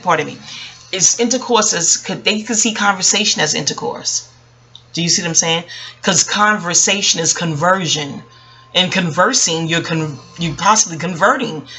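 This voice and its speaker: Bright, halting woman telling a personal story. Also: fairly low pitch, rough recording. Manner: rambling, halting, self-correcting, with emphatic pauses. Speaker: vlogger. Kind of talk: casual reflective personal storytelling.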